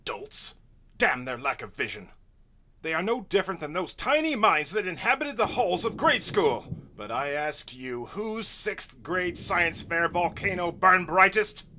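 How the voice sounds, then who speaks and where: A man's voice: high voice, very animated, a little slow, sharp tone, slight background noise, heavily acted. A man playing a morose, hot-tempered young villain scientist who rants with melodramatic contempt in a comic audio drama. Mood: scornful.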